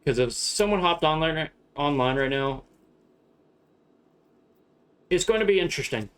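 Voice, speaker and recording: A man's voice: on the high side, expressive, measured pace, clear, resonant tone. A young man with a Southern US accent chatting casually on a live stream, rambling, laughing often and swearing freely. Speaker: streamer flying a shared-cockpit sim flight.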